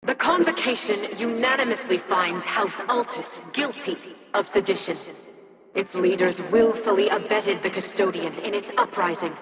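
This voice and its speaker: An actress playing a stern alien herald, pronouncing judgment in a formal, declamatory manner in a video game. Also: female voice, mid-range voice, normal speed, lightly acted. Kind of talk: dramatic video game proclamation. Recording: echoing hall, crowd noise behind.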